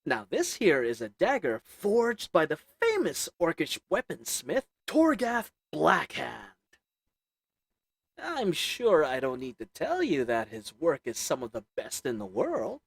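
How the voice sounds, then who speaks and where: A man's voice: fairly high pitch, wildly swooping pitch, measured pace, creaky, husky, dry recording, exaggerated voice. A man voicing a sly little old goblin merchant who sells shady goods, talking in a wheedling folksy drawl.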